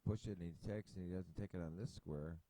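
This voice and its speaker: A man's voice: low-pitched, fairly quick, dark tone, no room sound, rough recording. A man hosting a casual chess analysis video, thinking aloud at his computer with many fillers and restarts. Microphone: desk mic at a computer.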